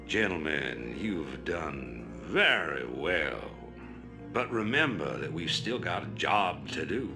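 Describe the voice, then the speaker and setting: A low voiced ruthless emperor type, pompous game speeches, slow and grand, loud music behind. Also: male voice, big pitch swings, full character voice. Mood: smooth, rousing. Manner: commanding, measured, pompous. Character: ruthless, power-hungry rebel leader turned emperor, imperious older man. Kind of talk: dramatic video game voice acting, grand oratory.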